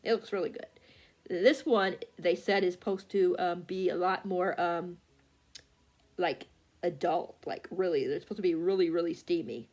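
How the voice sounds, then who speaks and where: A woman book vlogger chatting casually and haltingly, often losing her thread and correcting herself. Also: fairly low pitch, a little mellow. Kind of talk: casual rambling chat about books.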